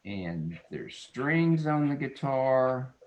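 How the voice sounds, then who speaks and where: A man's voice: mid-range voice, sing-song, drawn-out words. A man, an illustrator talking casually and playfully as he demonstrates drawing. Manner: folksy, playful, self-described silly. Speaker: children's book illustrator.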